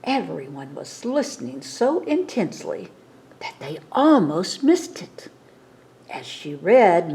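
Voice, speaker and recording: Animated, slow-paced woman reading a storybook. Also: on the high side. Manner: dramatic, animated storytelling for children. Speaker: children's storytime reader. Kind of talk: expressive picture-book read-aloud.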